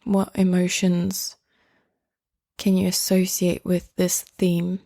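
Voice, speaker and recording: Slow, mellow, low-voiced woman guiding meditation. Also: completely flat delivery, vocal fry, no room sound, studio quality. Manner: gentle, unhurried, with long pauses between phrases. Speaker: guided meditation host. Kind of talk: guided meditation, slow and soothing.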